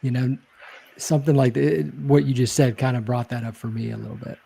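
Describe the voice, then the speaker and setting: Man, guest, reflective, hedging, warm mellow tone. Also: medium pitch, fairly even intonation, brisk, vocal fry, breathy edge. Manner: reflective storytelling, many fillers and hedges. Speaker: guest, leadership speaker. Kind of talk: casual interview chat.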